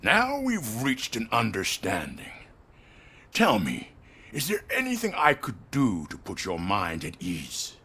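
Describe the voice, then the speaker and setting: An elderly, courteous corporate chief in a game drama. His voice is bright and creaky, and he speaks slowly with wide swings of pitch. He sounds formal, weary and quietly in control. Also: huge pitch swings, full character voice. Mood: measured, probing. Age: older. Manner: measured, formal, patrician. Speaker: company head. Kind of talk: video game dialogue acting.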